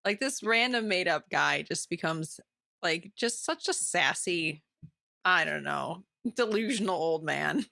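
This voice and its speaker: A woman's voice: on the low side, expressive, unhurried, vocal fry, pushed and bright, dry recording, clean studio sound. A woman co-hosting a podcast, talking in a casual, chatty book club style. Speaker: podcast co-host.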